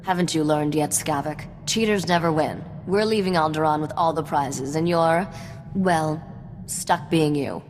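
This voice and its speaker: A woman's voice: deep voice, measured pace, darkish tone, long reverb tail, soft background music. An actress playing a shrewd, self-assured woman mechanic among space smugglers, with a dry, sardonic manner, in a video game. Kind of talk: video game cutscene acting.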